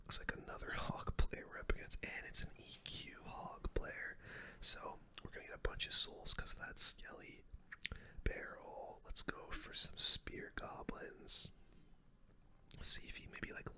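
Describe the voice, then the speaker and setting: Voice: very high-pitched, bright, forceful tone. A young adult whispers casual, slangy commentary over their own gameplay in an ASMR style. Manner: casual slangy gamer talk, full whisper.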